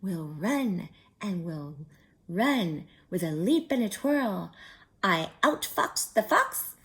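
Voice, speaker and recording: Woman as a slow, sing-song gingerbread girl. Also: mid-range voice, sing-song, fairly bright tone, full character voice. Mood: triumphant.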